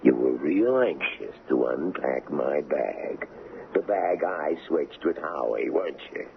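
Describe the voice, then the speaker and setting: A man's voice: very deep voice, expressive, slow pace, creaky, heavily acted. A man acting in a radio drama as a menacing middle-aged American gangster, tough, slangy and suspicious. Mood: cold menace. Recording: noisy tinny old radio recording.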